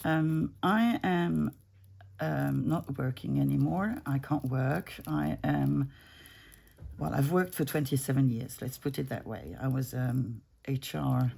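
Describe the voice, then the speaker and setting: A woman's voice: extremely low pitch, slow pace, gravelly, dark tone, breathy edge. A woman in her fifties chatting casually at her home craft desk while she works, rambling and breaking off mid-thought. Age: 50s. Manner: rambling, self-interrupting, easygoing. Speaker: junk journaling crafter.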